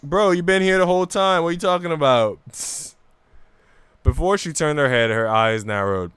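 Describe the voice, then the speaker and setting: A man's voice: a little high, moderate pace, a bit bright, clear, resonant tone, dry recording. A man streaming a visual novel, switching between casual, slangy chat and reading the story aloud. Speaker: game streamer.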